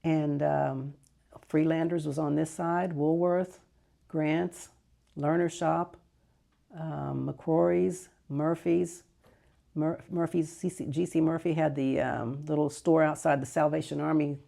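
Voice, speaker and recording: An older woman who grew up speaking Greek at home, fondly recalling her childhood in a rambling interview with soft laughs and self-corrections. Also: low voice, fairly even intonation, slow, creaky. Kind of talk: casual reminiscing interview.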